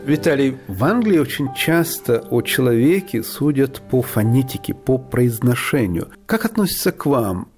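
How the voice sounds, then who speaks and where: A man working as a radio interviewer, asking his questions in a measured, formal way. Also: medium pitch, expressive. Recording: radio broadcast with faint music.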